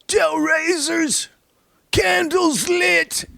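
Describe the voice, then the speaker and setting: A man imitating a rowdy drunk heckler, bellowing drawn-out yells as part of a podcast anecdote. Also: extremely high pitch, fairly even intonation, creaky, no echo, studio quality, voice pushed up, exaggerated voice. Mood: rowdy yelling. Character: rowdy drunk male heckler yelling at a show.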